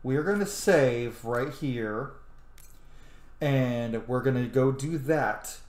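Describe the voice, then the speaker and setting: A man's voice: medium pitch, slow pace, darkish tone. A man giving chatty, casual video game commentary, thinking aloud and swearing now and then. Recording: roomy echo, light background noise.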